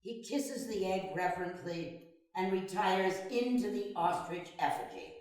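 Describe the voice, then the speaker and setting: A woman's voice: medium pitch, expressive, unhurried, a little mellow. A woman reading stage directions as narrator in a dramatic live play reading. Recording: echoey hall, far from mic.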